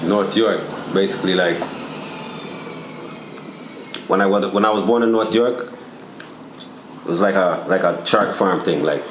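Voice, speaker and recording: A man's voice: low voice, moderate pace. A man from Toronto, a guest rapper, telling his story in a laid-back, slangy way with lots of fillers. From Toronto, Canada. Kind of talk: casual interview chat. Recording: roomy with faint background noise.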